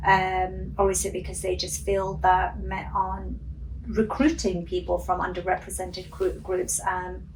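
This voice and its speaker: A woman's voice: fairly low pitch, little pitch movement, a little slow, darkish tone. A middle-aged woman police officer whose first language is Urdu, talking earnestly in a radio interview with many ums. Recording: distant mic with faint noise.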